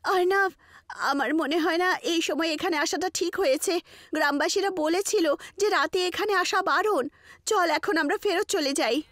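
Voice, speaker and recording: A woman's voice: very high voice, normal speed, fairly bright tone, no room sound, studio quality, voice pushed up. A woman voicing a frightened, scolding young college student who protests anxiously in an acted horror story. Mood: worried, pleading. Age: young adult.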